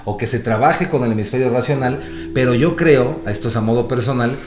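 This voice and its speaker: A man's voice: medium pitch, fairly quick, echoey room. A man, co-hosting a casual panel talk, speaking in a thoughtful, hesitant way. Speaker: podcast co-host.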